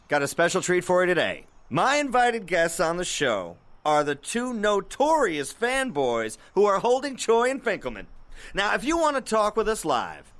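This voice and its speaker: An actor playing a cocky, sardonic internet radio host in an audio drama, with teasing, theatrical patter. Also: male voice, high-pitched, fairly bright tone. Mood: showy, upbeat.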